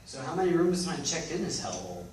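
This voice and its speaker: A man's voice: fairly high pitch, sing-song, fast talker, a little mellow, voice pushed up. A man playing a weary, anxious former investigator with memory loss in readers theatre. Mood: suspicious, weary. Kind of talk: readers theatre stage acting. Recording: echoey room, distant mic, background noise.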